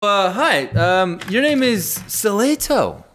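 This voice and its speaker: Male interviewer, playful and joking, lively pitch, rather high voice, casual questions. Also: slow, very noisy. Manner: playful, joking, many restarts. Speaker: interviewer from the game's team.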